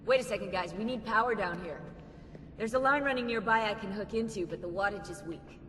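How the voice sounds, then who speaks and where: An actress playing an upbeat tech expert of an assassin team in a video game cutscene, enthusiastic and informal. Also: female voice, medium pitch, a little fast, a bit bright, natural voice. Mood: practical. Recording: long hall reverb, faint noise.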